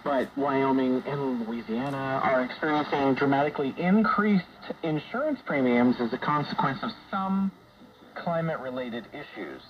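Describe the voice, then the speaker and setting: A man hosting a conversational radio discussion, explaining things in a measured, hesitant way. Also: mid-range voice, lively intonation. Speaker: radio show host. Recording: dull, noisy shortwave radio broadcast.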